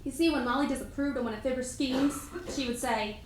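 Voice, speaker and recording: A woman acts out a cheerful radio comedienne reminiscing in a staged reading. Her voice sits high and she recites like a storyteller. The room is echoey, with faint background noise. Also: steady tone, a little fast, close to an everyday voice. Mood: cheerful, nostalgic. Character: female radio comedienne, cheerful wife recalling career. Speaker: presenter in a dramatic reading. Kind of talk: first-person scripted historical monologue. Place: indoor room at a live event.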